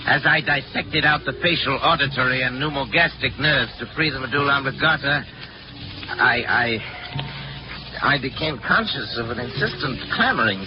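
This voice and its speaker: Male actor as tormented scientist, grave formal diction, very dramatic pitch swings, 1940s radio drama, music behind, dull old broadcast sound. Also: close to an everyday voice. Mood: clinical, driven. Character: guilt-ridden male scientist, obsessed surgeon and father, fatalistic. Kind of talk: 1940s radio drama acting, first-person narration.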